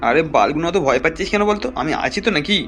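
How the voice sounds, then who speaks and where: Man playing a rapid-fire blustering cartoon gang leader. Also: on the high side, animated, over loud music, lightly acted. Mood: scolding, blustering. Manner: blustering, swearing, bossy. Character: foul-mouthed, boastful gang leader hiding his fear. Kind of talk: comic cartoon dub.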